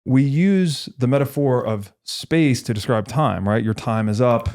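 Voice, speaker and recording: A man hosting a podcast, explaining ideas conversationally in a thoughtful, measured and halting way as he reasons aloud. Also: medium pitch, darkish tone. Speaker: podcast host.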